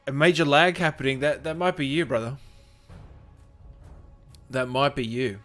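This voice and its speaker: A man's voice: mid-range voice, moderate pace, fairly bright tone, faint music behind. A man hosting a livestream, chatting informally and jokingly with his viewers in a matey way. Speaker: livestream host reading viewer comments. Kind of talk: casual livestream chat with viewers.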